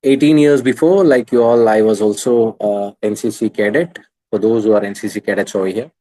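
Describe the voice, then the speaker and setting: A man's voice: on the low side, steady tone, brisk, dark tone, rough recording. A man in his thirties with an Indian English accent, coaching students in an online class, earnest and hesitant with many restarts. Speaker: exam coach.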